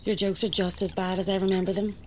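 An actress playing a vengeful escaped convict in an audio drama, curt, controlled and menacing. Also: female voice, mid-range voice, little pitch movement, fast talker, noisy background, close to an everyday voice. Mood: cold, demanding. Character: steely vengeful escaped female convict calling in a debt.

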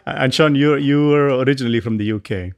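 A man from India, hosting a podcast in a friendly, halting way with many restarts. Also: mid-range voice, clear, resonant tone. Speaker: podcast host.

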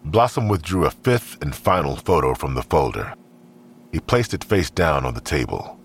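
A man narrating an audio drama in a measured, scripted storytelling style. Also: rumbling low, big pitch swings, slight background noise.

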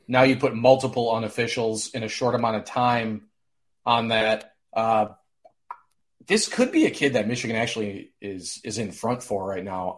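A man, a recruiting analyst, talking sports casually in a measured way with frequent "uh" pauses. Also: medium pitch. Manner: measured, hedging with "uh".

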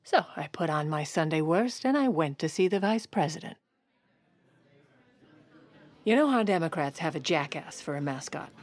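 A woman playing a sharp, scheming First Lady, confiding wryly in a comedic audio drama. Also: sits low, lively intonation, normal speed, pauses often. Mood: wry storytelling. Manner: conspiratorial, wry storytelling.

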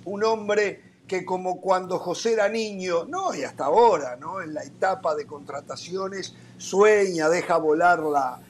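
A man hosting a sports talk show, teasing and joking with his panel in rapid back-and-forth. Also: sits high, slight background noise. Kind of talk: lively panel banter.

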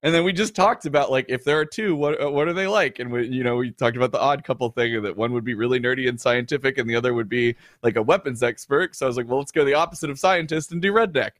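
A man's voice: medium pitch, fast talker, hardly any pauses, a bit bright, no echo, clean studio sound. A man in his thirties, a show co-host, chatting casually on a livestream with frequent fillers and laughs.

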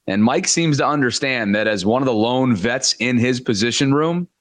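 A man's voice: mid-range voice, moderate pace, fairly bright tone, dry recording. Male sports show co-host, casual and upbeat, dry close-mic sound. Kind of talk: scripted sports talk.

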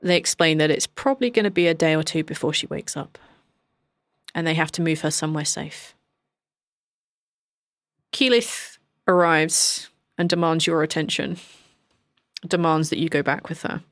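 Low-voiced woman narrating a tabletop game. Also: fairly even intonation, moderate pace, pauses often, no room sound, studio quality. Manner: informal, conversational storytelling. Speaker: game master.

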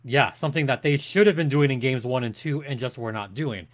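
A man's voice: medium pitch, brisk. A man who works as a sports announcer, giving conversational, opinionated analysis as a podcast guest with an emphatic delivery.

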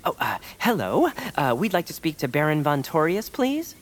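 A woman's voice: sits low, very animated, normal speed, noisy background. A woman voicing an earnest, polite little adventurer in a family audio comedy, formal and somewhat hesitant. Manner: formal, hesitant, long-winded.

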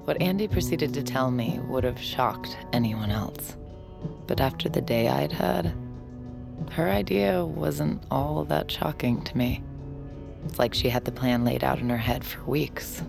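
An actress playing a troubled, secretive woman in love, hesitant and hushed, in an intimate audio drama. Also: a bass voice, unhurried, gravelly, over music, heavily acted. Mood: confiding narration. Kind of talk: intimate audio drama acting and confessional narration.

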